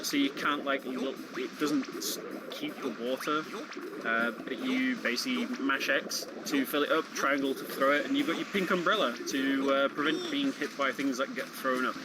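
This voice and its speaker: A man's voice: mid-range voice, moderate pace, very noisy. A man giving casual running commentary on a video game, explaining how it plays. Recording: game music under the voice. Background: loud game music.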